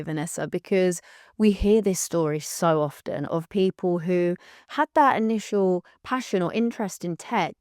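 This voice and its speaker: A woman's voice: fairly low pitch, darkish tone, no echo, studio quality. A woman hosting an interview series, upbeat and effusive as she welcomes her guest. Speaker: podcast host. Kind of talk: hosted interview.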